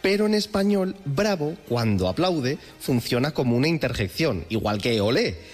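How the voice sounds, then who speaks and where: Lively man explaining, in long reverb. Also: medium pitch, normal speed, soft background music. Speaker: language commentator. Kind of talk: explanatory radio segment with examples.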